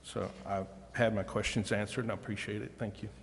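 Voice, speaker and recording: A man's voice: on the low side, flat delivery, a little fast, creaky. A man speaking informally as a councilman in a meeting, thinking aloud as he questions and comments. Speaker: city councilman. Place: large indoor council chamber. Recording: reverberant hall, faint background noise.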